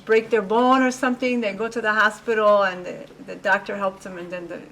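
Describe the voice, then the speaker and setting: A woman playing a teacher who leads a class discussion and gently challenges her students' answers, in an amateur student drama. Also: medium pitch, steady tone, a little fast, a little hiss or hum, lightly acted. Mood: doubtful. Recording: roomy classroom sound from far off.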